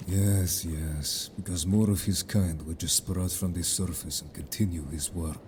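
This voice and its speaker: A man playing a stern, blunt merfolk companion in an audio drama, formal and measured in speech, suspicious of humans. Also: low voice, steady tone, noisy background.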